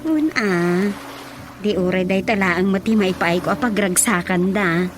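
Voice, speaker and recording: An actress with an Ilocano Filipino accent playing an agreeable, curious wife in a comedy radio drama, questioning in drawn-out phrases. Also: female voice, medium pitch, music in the background, lightly acted.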